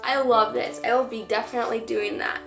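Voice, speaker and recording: Hoarse, gushing young woman. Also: on the high side, brisk, echoey room, music in the background. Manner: gushing, excitable. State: hoarse, losing her voice. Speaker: vlogger. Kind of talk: casual chat to camera. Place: living room, on a couch.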